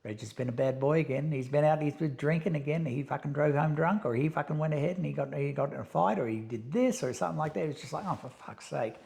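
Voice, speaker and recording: A man's voice: fairly high pitch, fast talker, creaky, few pauses, darkish tone. A man, a guest telling stories in a blunt, profane, casual way and laughing often. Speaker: interview guest. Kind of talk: casual conversational storytelling.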